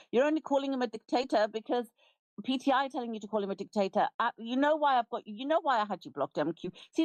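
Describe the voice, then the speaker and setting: A woman's voice: a little high, no echo, rough recording. A middle-aged British woman hosting a live audio space, lecturing and scolding a speaker in a heated debate. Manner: forceful, lecturing, interrupts and scolds.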